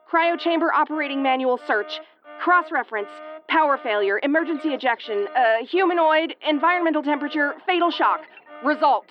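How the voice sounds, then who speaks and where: A woman's voice: sits high, highs cut off, close to an everyday voice. A woman playing a sardonic, sweary tomboyish young starship commander in an audio roleplay, stammering when rattled but secretly tender. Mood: panicked, exasperated.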